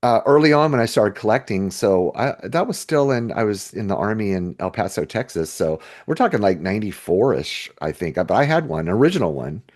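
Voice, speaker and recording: A man's voice: fairly low pitch, lively intonation, fairly quick, hardly any pauses, a little mellow. A middle-aged American man co-hosting a casual discussion, speaking informally as if thinking aloud. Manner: informal, conversational, thinking aloud while browsing listings. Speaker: podcast co-host.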